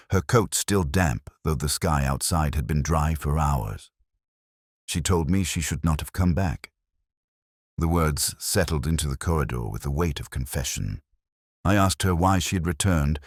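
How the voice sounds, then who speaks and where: A man narrating a scripted first-person detective mystery as a brooding, world-weary investigator, in a measured, solemn, literary manner. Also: very deep voice, dry recording, clean studio sound. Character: brooding, world-weary male police detective recounting a case.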